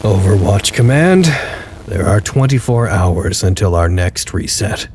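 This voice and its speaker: A man's voice: deep voice, lively intonation, a little slow, a little mellow, slight background noise. A man playing a weary, dutiful researcher who records formal, articulate status logs with dry wit in an audio drama. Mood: weary, grave. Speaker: narrator. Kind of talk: audio drama log narration.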